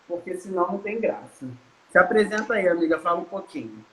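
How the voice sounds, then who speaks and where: A woman hosting a casual interview over a video call, her voice at an ordinary middle pitch. She sounds friendly and quick to exclaim. The sound is roomy, with a faint hiss under it. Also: expressive. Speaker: host. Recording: hissy, echoey room.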